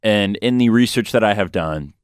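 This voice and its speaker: Smooth, low-voiced young male sports host. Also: normal speed, no echo, studio quality. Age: young adult. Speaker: sports talk show host. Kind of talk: casual sports radio talk.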